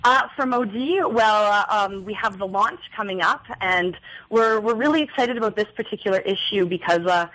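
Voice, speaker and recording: A woman's voice: mid-range voice, normal speed, a bit bright, rough recording. Young woman on community radio. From New Brunswick, Canada. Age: young adult. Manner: thoughtful, many fillers and restarts. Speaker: magazine editor. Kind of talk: radio interview, reading aloud.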